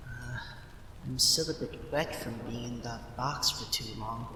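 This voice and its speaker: Man voicing a haughty, flustered cat deity, slow-paced. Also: medium pitch, fairly even intonation, bright, forceful tone, a little hiss or hum. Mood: softening, content. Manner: haughty, flustered, theatrical, easily indignant. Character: banished proud young male forest deity, cursed into cat form. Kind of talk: scripted ASMR roleplay addressed to the listener. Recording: rain sounds over the voice. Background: rain ambience.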